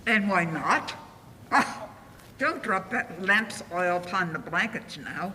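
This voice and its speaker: An actor playing a sly, callous scavenger with a theatrical, cackling manner in a 1940s radio drama. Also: male voice, sits high, lively intonation, takes it slowly, bright, forceful tone, exaggerated voice. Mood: gloating. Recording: echoey hall, faint background noise.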